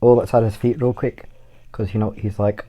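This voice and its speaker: Mellow, rambling man teaching drawing. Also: on the low side, flat delivery, normal speed. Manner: rambling, jokey asides while drawing. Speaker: guest artist. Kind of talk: casual drawing tutorial.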